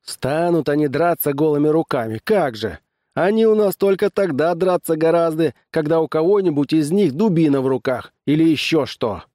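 A man's voice: fairly high pitch, moderate pace, warm tone, dry recording, clean studio sound, voice pushed up, lightly acted. A man voicing a stern, exasperated small-town constable who barks orders in an audiobook story. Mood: disgusted. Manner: barking, stern, weary of troublemakers. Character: gruff, exasperated small-town male constable laying down the law.

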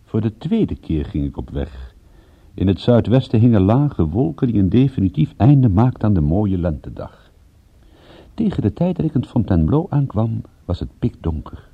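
An actor playing a wry, laconic private detective in a radio play, dry and ironic in dialogue and narration. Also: male voice, on the low side, sing-song, moderate pace, slightly rough, narrow, tinny audio, full character voice. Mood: wry narrating. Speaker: lead actor and first-person narrator. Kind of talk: Dutch radio drama acting and narration.

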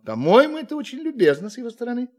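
A condescending alien visitor in a Russian audio drama. His slightly husky voice leaps wildly up and down, mock-polite and theatrical, relishing his game with the humans. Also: very high-pitched, sing-song, very fast, full character voice. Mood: gleeful.

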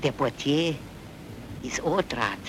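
A man's voice: medium pitch, expressive, measured pace, noisy background, lightly acted. An actor playing a suspicious father among bystanders in a film drama. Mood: agitated, accusing. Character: suspicious Viennese bystander, father of small child. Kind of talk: German film drama dialogue.

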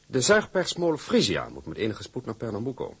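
A man playing a formal, businesslike shipping boss with a husky voice in a radio drama. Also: medium pitch, wildly swooping pitch, fairly quick, exaggerated voice. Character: formal, smooth-talking shipping company boss handing out assignments.

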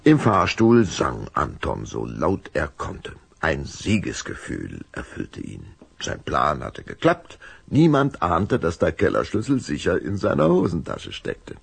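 A man narrating a children's audio story from a script in a dramatic, deliberate storytelling manner. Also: a bass voice, wildly swooping pitch, gravelly, husky, dry recording.

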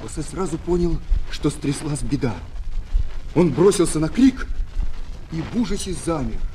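A man narrating a children's adventure story in an expressive, theatrical way. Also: fairly high pitch, moderate pace, noisy background. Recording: old flexi-disc, music behind.

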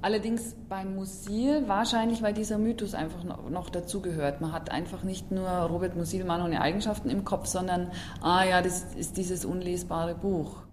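Eine Frau, die in einem Interview frei und nachdenklich abwägend spricht. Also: female voice, lowish voice, fairly even intonation, normal speed, few pauses, a little mellow. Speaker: bookseller, interviewee. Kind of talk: spontaneous interview talk. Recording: roomy, background ambient noise.

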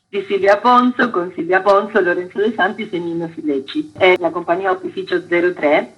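A woman's voice: lowish voice, husky, lo-fi sound. A woman talking effusively and emphatically as a guest in a radio interview, presenting events with enthusiasm. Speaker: interview guest, cultural centre director presenting a theatre festival.

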